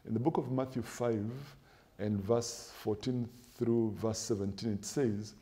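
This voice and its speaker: A middle-aged man, a pastor, answering in a studio interview with a measured, emphatic preaching style, building points in lists and quoting scripture, on a lapel mic. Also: on the low side, fairly even intonation, dark tone. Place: TV studio set with sofas.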